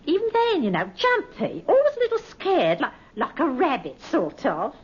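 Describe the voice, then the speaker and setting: Fretful, high-voiced village landlady. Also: wildly swooping pitch, moderate pace. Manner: rambling, hesitant, fretting. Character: fretful, chatty village landlady, worried and motherly. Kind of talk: old-time radio acting. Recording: muffled, noisy old radio recording.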